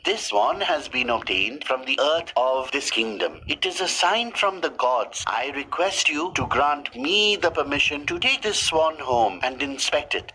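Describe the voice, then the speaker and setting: Guy doing a sly pious royal scholar from a storybook, formal, pushy, pitched up, sharp. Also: a little hiss or hum, low-quality recording. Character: cunning male court pandit, pious and scheming. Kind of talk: storybook character dialogue.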